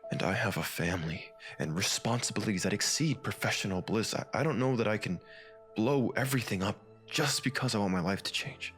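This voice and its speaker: A man's voice: lowish voice, fairly quick, a bit bright, faint music behind, close to an everyday voice. A man playing a weary, unsettled married newspaperman and father in a comic dub, speaking hesitantly. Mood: troubled, hesitant. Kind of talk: dramatic comic dub acting.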